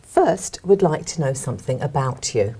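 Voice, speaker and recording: A woman's voice: extremely low pitch, lively intonation, moderate pace, dark tone, breathy edge. A woman examiner politely asking candidates questions in a recorded speaking exam. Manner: polite, encouraging prompts. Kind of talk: exam interview.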